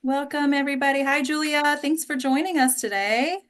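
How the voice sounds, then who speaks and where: A woman's voice: high-pitched, moderate pace, a bit bright. An American woman from Texas, chatty and effusive, hosting an online group and welcoming people in casual talk. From Texas, United States. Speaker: host of an online actors' table read. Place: online video call. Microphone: video call.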